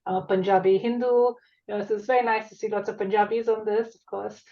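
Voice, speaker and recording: A woman's voice: medium pitch, flat delivery, fast talker, dark tone. Chatty Indian woman, slightly muffled. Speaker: podcast guest. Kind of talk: conversational personal reflection in a panel discussion. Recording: slightly dull lo-fi sound.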